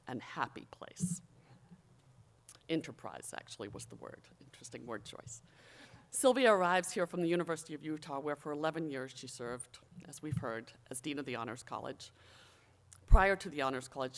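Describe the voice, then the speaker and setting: Formal speech, measured delivery, emphatic phrasing, medium pitch, college official at a podium. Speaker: college official introducing the new president. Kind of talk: formal prepared ceremonial speech.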